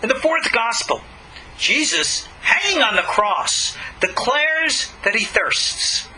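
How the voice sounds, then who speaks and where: A man reading aloud a religious lecture in an emphatic, dramatic, deliberately phrased way. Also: very high voice, very animated, sharp tone, slight background noise, poor audio. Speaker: rabbi giving a religious lecture.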